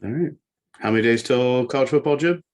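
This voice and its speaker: A man's voice: mid-range voice, brisk, a little mellow. A man co-hosting a sports podcast, going through sports news and talking it over. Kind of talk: sports news read and discussion.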